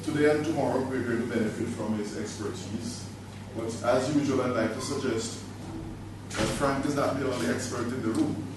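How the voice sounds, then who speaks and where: A man formally introducing a trainer to a workshop audience, speaking in a measured way. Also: mid-range voice, fairly even intonation. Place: conference room. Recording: distant echoey room recording with noise.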